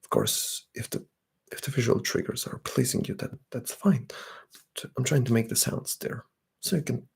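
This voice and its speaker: A young man, chatting softly and often whispering on an ASMR livestream while reading out comments. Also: mid-range voice, moderate pace, gravelly, slight background noise. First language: Bulgarian. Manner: soft-spoken, drifting into whispers. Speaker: ASMR streamer.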